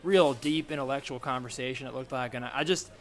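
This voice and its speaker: Man acting as older man, folksy quarry-working father, kindly, halting, improv comedy. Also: medium pitch, close to an everyday voice. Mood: friendly, modest.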